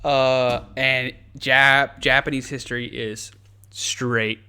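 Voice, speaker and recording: A man's voice: mid-range voice, slow, clear, resonant tone. A young American man hosting a podcast, joking and swearing in loose casual chat, often restarting his sentences. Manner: animated, profane, joking, with restarts. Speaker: podcast host.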